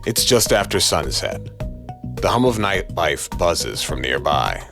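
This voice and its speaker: Deep voiced guy narrating a noir horror story, slow and dramatic, bit of music behind. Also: big pitch swings, gravelly. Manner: deliberate, dramatic. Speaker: narrator and voice actor. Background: faint music.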